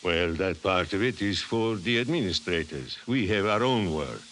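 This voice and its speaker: Casting: self-assured senior scientist, 1950s radio drama. Bass voice, bright edge, courteous, hissy recording. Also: male voice, lively intonation, normal speed. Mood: genial. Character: courteous, calmly assured male foreign chief scientist.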